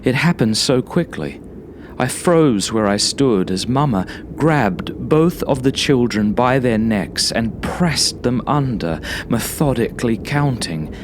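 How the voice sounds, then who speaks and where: A man reading a first-person horror story as a narrator, in a measured, dramatic manner. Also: mid-range voice, lively intonation, background noise.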